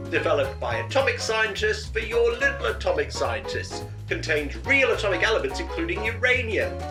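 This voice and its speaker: An actor playing a man selling toys in a peppy, knowing 1950s-style radio advert. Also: high-pitched. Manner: peppy, knowing sales patter. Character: chirpy salesy announcer in retro atomic-age toy advert. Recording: echoey, distant, music behind.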